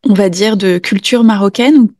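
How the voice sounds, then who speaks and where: A woman's voice: medium pitch, normal speed, a little mellow, dry recording, studio quality. A woman hosting a casual, reflective podcast interview, meandering as she searches for her words.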